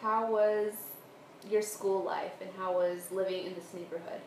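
A woman's voice: medium pitch, fairly even intonation, a little slow. Woman interviewer in an echoey room. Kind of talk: prepared oral history interview questions. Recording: roomy echo, clear background noise.

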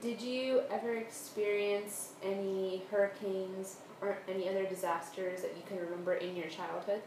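A woman's voice: medium pitch, fairly even intonation, moderate pace. Woman interviewer in an echoey room. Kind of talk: prepared oral history interview questions. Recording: roomy echo, clear background noise.

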